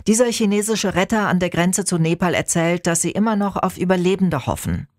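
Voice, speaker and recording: A man's voice: high-pitched, expressive, dry recording. Formal male radio newsreader, dry studio. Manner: measured, formal delivery. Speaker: news anchor. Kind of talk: scripted news reading. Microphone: studio microphone.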